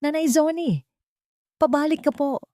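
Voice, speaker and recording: A woman voicing a small boy murmuring softly in his sleep. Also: high voice, unhurried, no room sound, clean studio sound, voice pushed up. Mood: pleading.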